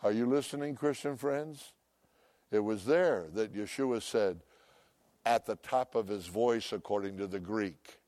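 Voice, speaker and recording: An older man preaching a sermon, loud and emphatic, swinging between shouts and hushed asides. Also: lowish voice, measured pace, no room sound. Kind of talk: impassioned sermon.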